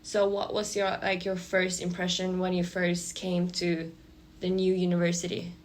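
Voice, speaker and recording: A young woman hosting a relaxed student podcast, asking questions in a casual conversational way. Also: lowish voice, normal speed. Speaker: podcast host. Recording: faint background noise, room ambience.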